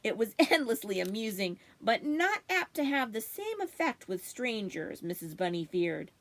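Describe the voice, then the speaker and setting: Animated, highish-voiced woman reading a story. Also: moderate pace. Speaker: library storytime reader. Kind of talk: expressive scripted storybook narration.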